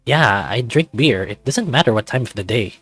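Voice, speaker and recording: A man's voice: medium pitch, animated, normal speed, fairly bright tone, husky, no room sound, soft background music. A man voicing a blunt, laid-back young male newcomer in a visual novel.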